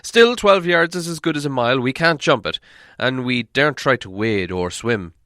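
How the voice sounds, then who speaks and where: A man's voice: mid-range voice, lively intonation, normal speed, pushed and bright, no room sound, studio quality, pitched above the performer's own voice. A man playing a stern old dwarf leader in a storybook reading, blunt and authoritative. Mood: commanding.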